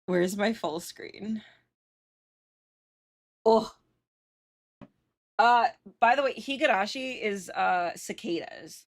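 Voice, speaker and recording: A woman's voice: mid-range voice, takes it slowly, pauses often. A woman livestreamer chatting casually while gaming, informal and sweary.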